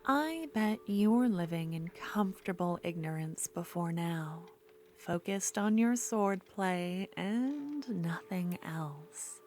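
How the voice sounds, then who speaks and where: A woman playing an obsessive, possessive yandere duelist in an ASMR fantasy roleplay, speaking intimately and moving between soft speech and breathy whispers. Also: on the low side, lively intonation, slow. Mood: knowing, seductive.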